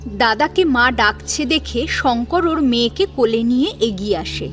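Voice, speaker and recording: Very high-pitched female story narrator. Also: animated, unhurried. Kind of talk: scripted dramatic narration. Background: background music.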